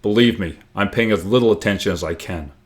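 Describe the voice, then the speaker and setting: A man's voice: sits low, a little fast, natural voice. Man playing cocky schoolboy, carefree joker, breezy, teasing, storybook dialogue. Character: joking, carefree, cocky schoolboy class president.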